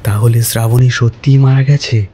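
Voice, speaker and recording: A man telling a first-person ghost story for a cartoon, calm and reflective. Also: medium pitch, normal speed, husky, soft background music.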